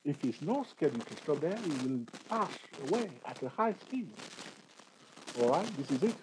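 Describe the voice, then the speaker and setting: A man's voice: on the high side, very animated, normal speed. Chatty man teaching from charts. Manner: chatty, repetitive, asks the viewer questions; jokes and laughs at himself. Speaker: trading tutor. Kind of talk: screen-share chart tutorial. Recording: faint background noise.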